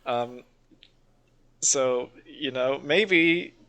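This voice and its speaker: A man's voice: mid-range voice, drawn-out words, bright, forceful tone. A young man co-hosting a podcast, giving casual opinions in a sardonic, exasperated way. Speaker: podcast co-host.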